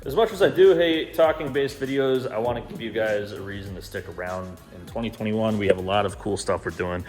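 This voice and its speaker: A man's voice: lowish voice, a little fast, darkish tone. A man hosting a car build vlog, talking casually and off the cuff with slang and easy swearing. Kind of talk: casual, unscripted vlog talk to camera. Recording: music playing behind the voice. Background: background music.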